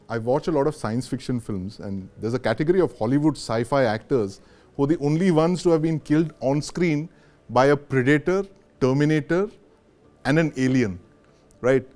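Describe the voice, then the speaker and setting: A man's voice: on the high side, a little mellow. A man with an Indian accent, presenting and putting questions as the host of a broadcast news discussion show. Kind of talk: broadcast interviewing.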